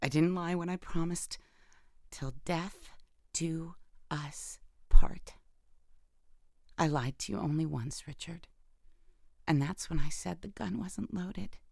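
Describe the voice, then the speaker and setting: An actress playing a bitter, wounded imprisoned wife in an audio drama monologue, speaking in a halting, intimate, emotionally charged way with a creaky voice. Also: female voice, sits low, a little mellow, dry recording, studio quality, exaggerated voice. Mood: anguished, then coldly vengeful. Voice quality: gravelly, creaky. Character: bitter, wounded imprisoned wife who killed her abusive husband.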